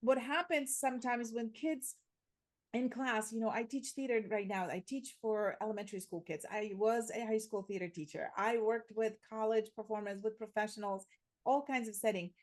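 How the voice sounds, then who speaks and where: A woman's voice: fairly high pitch, steady tone, moderate pace. A woman from Slovakia, telling personal stories conversationally as an interview guest, often repeating words as she goes. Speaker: interview guest, theater teacher.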